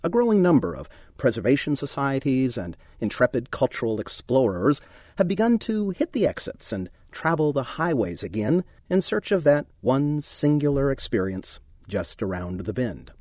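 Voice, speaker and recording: A male professor reads a scripted radio segment with a husky edge. His pitch moves a lot through each phrase, and the recording is close and dry. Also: darkish tone, no echo.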